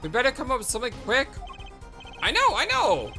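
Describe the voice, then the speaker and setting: A man's voice: huge pitch swings, fairly quick, gravelly, bright, forceful tone, faint music behind, exaggerated voice. A man voicing a nervous young male defense attorney who talks urgently in a raised voice. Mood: anxious. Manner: anxious, urgent, high-strung.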